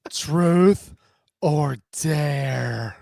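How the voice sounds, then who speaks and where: A woman voicing a smooth, playful, teasing woman in a steamy romance drama. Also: sits low, drawn-out words, mellow, no room sound, clean studio sound, heavily acted. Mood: playful, seductive.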